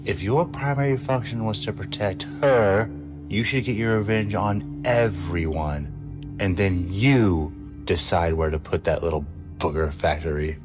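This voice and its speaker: Radio drama con-man hacker played by a man, deepish voice, cocky and persuasive, faint music behind. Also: a little slow. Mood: sly, persuasive. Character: cool, cocky male hacker and hustler.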